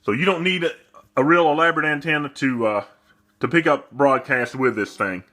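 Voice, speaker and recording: A man's voice: on the high side, a little fast, sharp tone. A man giving a casual demonstration of a product as its seller, talking off the cuff.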